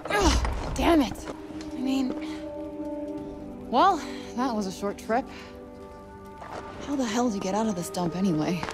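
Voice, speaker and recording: An actress playing a brash, sardonic young adventurer in a video game, with a casual, slangy, sarcastic way of talking. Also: female voice, on the high side, expressive, unhurried, pauses often. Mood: irritated. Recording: music behind the voice.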